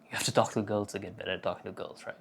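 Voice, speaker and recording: A man's voice: fairly low pitch, fairly even intonation, very fast, vocal fry, fairly bright tone, a little hiss or hum. A young man giving casual self-improvement advice in a cocky, profane, joking manner. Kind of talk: casual crude advice monologue.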